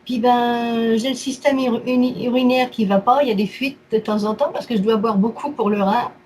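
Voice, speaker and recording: Very high-pitched woman, short itemizing phrases. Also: fairly even intonation, normal speed, darkish tone. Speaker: therapy client. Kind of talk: casual recounting of health complaints. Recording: faint background noise.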